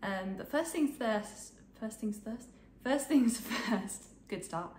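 A woman's voice: on the high side, lively intonation, takes it slowly, a little mellow. Young woman with a cold, snuffly, rambly sewing chat, echoey room, faint hiss. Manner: chatty, rambling, many ums. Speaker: sewing vlogger. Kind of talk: casual chat to camera. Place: home sewing room, indoors.

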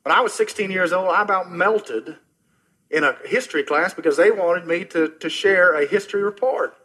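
A middle-aged man preaching a sermon as pastor, earnest and personal, often dropping soft and low at the ends of phrases. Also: normal speed, husky, rough recording. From Oklahoma, US.

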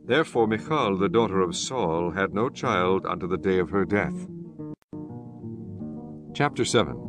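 A man narrating scripture from a script in a formal, measured manner. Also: low voice, animated, music in the background.

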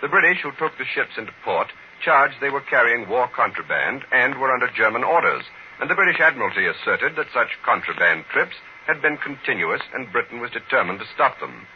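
A muffled old radio recording with light noise carries an American man's voice. He reads the news formally in a measured 1940s broadcast style, at a medium pitch. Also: very animated.

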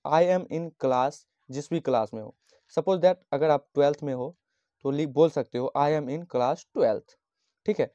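Man from Bihar, tutor type, slow patient explainer, mellow tone, dry dull lo-fi sound. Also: fairly high pitch, dry recording. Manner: slow, patient, repeats each phrase and dictates English lines. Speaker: teacher. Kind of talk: handwritten English lesson explained in Hindi. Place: at a desk, writing on a notebook page.

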